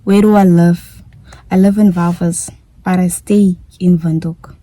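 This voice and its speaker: Young namibian girl vlogging, chatty, repeats stuff, mellow voice, dry sound. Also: on the low side, fairly even intonation, a little slow, dry recording. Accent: Namibian English. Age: young adult. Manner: chatty, repeats herself, giggly. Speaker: YouTuber. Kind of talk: casual vlog Q&A.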